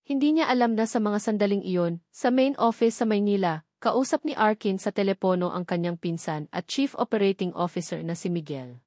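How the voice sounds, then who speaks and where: A woman's voice: mid-range voice, brisk, a little mellow, no echo, clean studio sound. A woman with a Filipino accent reading a scripted romance story as a dramatic narrator.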